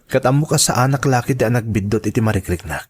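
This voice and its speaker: A man with an Ilocano Filipino accent playing an earnest, lovestruck man in a radio drama, with a husky voice. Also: medium pitch, fast talker, lightly acted. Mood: tender, lovestruck. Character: Donato, earnest lovestruck man confiding his troubles.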